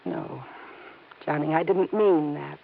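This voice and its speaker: A woman's voice: low voice, takes it slowly, vocal fry, heavily acted. An actress playing an anxious young married woman in a 1950s radio drama, pleading and emotional. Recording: tinny old radio broadcast.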